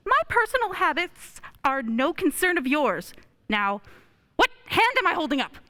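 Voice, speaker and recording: A woman's voice: sits high, sing-song, normal speed, pushed and bright, full character voice. A woman playing a nervous, pompous, irritable male personnel examiner in a radio play, with an officious, snappish way of talking. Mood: flustered, irate.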